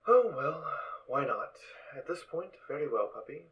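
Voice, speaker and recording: A man's voice: fairly high pitch, sing-song, moderate pace. A man voicing a polite, hesitant floating sprite robot in a fan audio drama. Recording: dull lo-fi recording.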